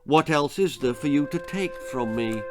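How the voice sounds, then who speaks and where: A man plays a storybook toddler girl who talks like a stern grown-up. She is prim, haughty and curt, with a slightly raised pitch and music underneath. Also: lively intonation, moderate pace, natural voice. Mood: cool, formal. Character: precocious, haughty, stern two-year-old girl genius.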